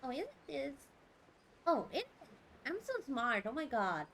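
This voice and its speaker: A woman's voice: high voice, big pitch swings, a little slow, a bit bright, a little hiss or hum. A young woman, chatting playfully and exclaiming as she live-streams a game. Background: game music.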